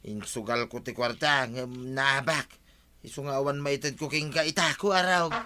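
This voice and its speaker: A man's voice: mid-range voice, moderate pace, pushed and bright, slight background noise, close to an everyday voice. A man with an Ilocano accent playing a sheepish villager caught stealing after gambling, pleading and protesting in a comedy drama.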